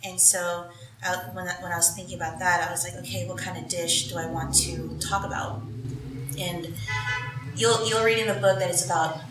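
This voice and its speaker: Low, flat-voiced woman, distant and echoey. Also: moderate pace, heavy background noise. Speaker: cookbook author. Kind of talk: casual conversation.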